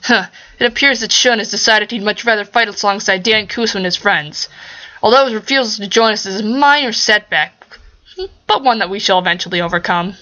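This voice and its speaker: A woman voicing a calm, smug teenage boy villain who schemes coolly in a fan fiction reading. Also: medium pitch, a little fast, muffled recording, lightly acted. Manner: calm, smirking.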